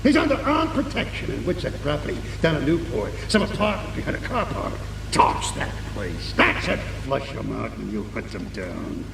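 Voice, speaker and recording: A man playing a shifty, agitated middle-aged American crook and army veteran in a video game, gruff and conspiratorial. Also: mid-range voice, very animated, moderate pace. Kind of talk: video game cutscene acting. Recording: echoey, distant, faintly noisy.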